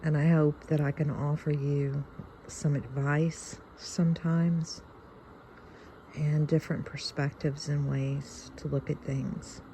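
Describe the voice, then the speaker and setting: A woman in her fifties with a Southern US accent, chatting reflectively and haltingly to her viewers. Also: deep voice, level tone, warm tone, slight background noise, low-quality recording. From Louisiana, United States. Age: fifty-one. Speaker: video channel host. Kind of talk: casual reflective chat to viewers.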